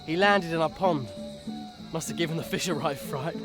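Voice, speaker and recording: A man's voice: on the high side, moderate pace, close to an everyday voice. A young man acting a chatty, down-to-earth English teenage wizard with a casual, wry manner, in game cutscene dialogue. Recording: loud music behind.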